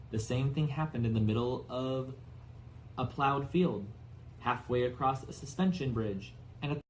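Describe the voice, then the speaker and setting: Male narrator, medium pitch, expressive storybook reading, faintly noisy recording. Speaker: audiobook narrator.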